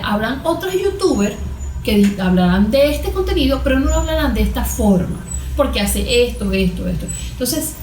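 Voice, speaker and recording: Higher-voiced Venezuelan woman explaining, roomy sound. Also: a little mellow. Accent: Venezuelan Spanish. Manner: didactic, poses questions and answers them. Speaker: guest therapist being interviewed. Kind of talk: explanatory interview talk with everyday examples. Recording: roomy, echoing room sound.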